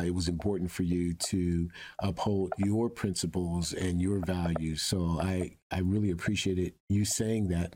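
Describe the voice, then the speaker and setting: A man's voice: sits low, a little mellow. A man hosting a conversational interview, putting his questions in a hesitant, wordy way. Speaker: interviewer, host.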